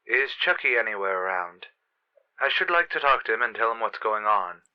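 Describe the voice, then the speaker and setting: A man's voice: lowish voice, animated, moderate pace, clear, resonant tone, dull audio, natural voice. An actor playing a stern, formal professional man in a vintage radio soap, with a clipped, authoritative manner. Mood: brisk, cool.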